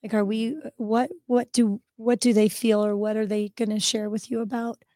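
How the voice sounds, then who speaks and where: A woman with a hoarse, recovering voice co-hosts a podcast. She asks halting questions full of ums, in a flat, even voice. The sound is close and dry. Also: fairly high pitch, no room sound. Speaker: co-host. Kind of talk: casual podcast chat.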